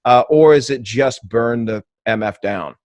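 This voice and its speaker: A man's voice: mid-range voice, unhurried, no echo. A man from England hosting a political podcast, asking long, rambling conversational questions. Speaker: podcast host.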